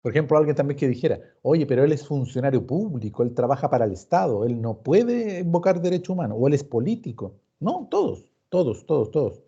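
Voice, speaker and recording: Chilean male professor, very dark voice. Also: medium pitch, big pitch swings, a little fast, hardly any pauses, breathy edge. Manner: didactic, emphatic repetition, acts out questions and answers. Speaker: law professor teaching an online class. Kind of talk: informal explanatory lecture with rhetorical questions. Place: recorded online meeting. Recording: dull, muffled online class recording.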